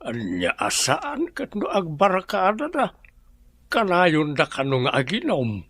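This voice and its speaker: A man's voice: high voice, huge pitch swings, a little slow, fairly bright tone, breathy edge, no room sound, full character voice. A man with an Ilocano accent playing an indignant, complaining canteen-owner father in a radio drama. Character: grumbling father running a canteen, fretting over debts.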